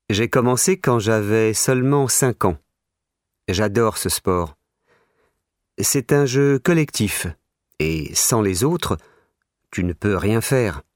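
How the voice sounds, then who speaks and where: A man's voice: on the low side, darkish tone, dry recording, studio quality. A man playing a keen, sporty eighteen-year-old in a scripted listening exercise. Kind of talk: scripted listening-exercise monologue, slow and clear.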